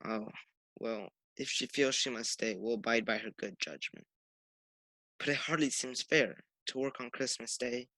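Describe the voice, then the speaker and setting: Man acting a merry poor clerk, tender father, bright forward voice, dry close sound, radio drama. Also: medium pitch, moderate pace, no room sound. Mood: tender, earnest.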